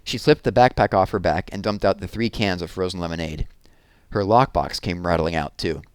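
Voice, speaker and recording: A man reading a children's novel aloud in an expressive storytelling style. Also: low voice, a little fast, clean tone, no room sound.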